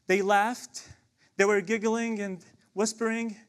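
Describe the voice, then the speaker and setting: A man's voice: high voice, flat delivery, takes it slowly, clean tone. A young man from Palestine, performing impassioned spoken word poetry with rhetorical questions and repeated refrains. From Palestine, West Bank.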